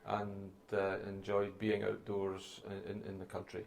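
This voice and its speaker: A middle-aged Scottish man, a guest answering interview questions in a measured, courteous way with some restarts. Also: low voice, completely flat delivery, vocal fry, darkish tone, slight background noise. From Scotland. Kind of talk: relaxed conversational interview.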